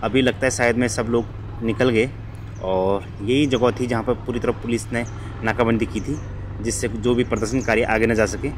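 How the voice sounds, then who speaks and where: A man's voice: mid-range voice, steady tone, moderate pace. A young man from Uttarakhand, narrating casually to viewers while riding a motorbike on hill roads. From Uttarakhand, India. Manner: chatty, addresses viewers as guys. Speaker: motovlogger. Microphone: helmet or body camera mic. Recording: noisy outdoor motorbike recording. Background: engine and traffic noise.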